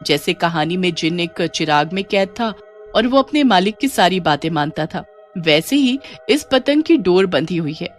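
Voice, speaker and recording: A woman's voice: on the low side, expressive, normal speed. A woman narrating a scripted children's ghost story as a storyteller. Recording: music behind the voice.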